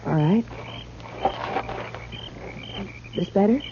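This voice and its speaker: A woman's voice: medium pitch, expressive, brisk, close to an everyday voice. An actress playing a nervous, evasive young saloon woman in a 1950s radio western, hesitant and guarded. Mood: uneasy. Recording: dull, noisy old radio recording.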